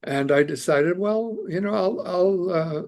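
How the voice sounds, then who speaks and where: An older man born in Canada speaks as a guest on a podcast, earnest and reflective, with a somewhat high voice. He pauses often on fillers and restarts his sentences. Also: gravelly, darkish tone. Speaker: immigration lawyer and author, podcast guest. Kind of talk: casual interview conversation.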